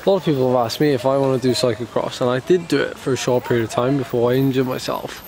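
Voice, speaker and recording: A man's voice: medium pitch, steady tone, quick pace, a little mellow. A man from the United Kingdom, a professional cyclist, talking casually to camera while ill and slightly congested, rambling with lots of fillers and restarts. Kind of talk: casual vlog talk to camera.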